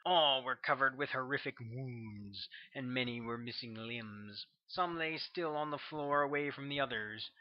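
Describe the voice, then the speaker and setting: An author reading his own novel aloud as an audiobook narrator, with steady, measured storytelling on a slightly muffled recording. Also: medium pitch, no room sound.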